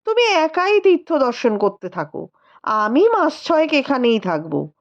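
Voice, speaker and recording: A woman voicing a shy, gentle young wife with a coy, hesitant manner in a storybook reading. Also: high voice, sing-song, unhurried, muffled recording, full character voice.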